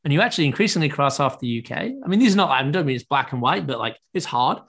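A man's voice: on the high side, lively intonation, quick pace, a bit bright, slightly rough. A man being interviewed, explaining his views fluently with frequent fillers. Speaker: guest, startup investor. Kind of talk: casual interview.

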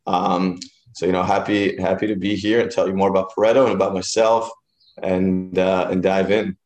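A man's voice: low voice, level tone, normal speed, a little mellow. A man in his thirties, originally from Israel, talking casually as an interview guest with many fillers and restarts. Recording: roomy echoey room.

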